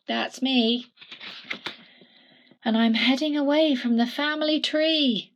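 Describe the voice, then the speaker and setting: A woman's voice: sits high, slow pace, lo-fi sound, voice pushed up. A woman voicing a small, proud male stick figure in a storybook, with a sing-song, emphatic delivery. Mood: insistent.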